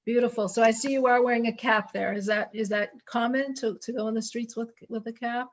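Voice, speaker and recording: A woman's voice: a little high, steady tone, a little fast, dull audio. A woman interviewing guests in a casual online chat, asking eager, curious questions.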